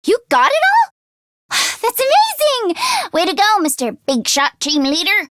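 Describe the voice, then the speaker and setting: A woman voicing an anxious, earnest teenage girl mechanic in a video game, with an expressive, hesitant delivery. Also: very high-pitched, unhurried, no echo, clean studio sound, full character voice. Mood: cheerful, encouraging. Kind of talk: English video game voice acting, isolated voice lines.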